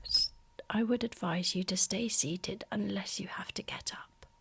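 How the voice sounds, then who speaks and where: Slow, hushed woman in soft ASMR roleplay. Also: medium pitch. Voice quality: soft, breathy whispering and hushed speech. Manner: gentle, slow, reassuring. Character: gentle, attentive female flight attendant soothing a passenger. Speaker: ASMR performer playing a flight attendant. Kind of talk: ASMR roleplay, personal attention.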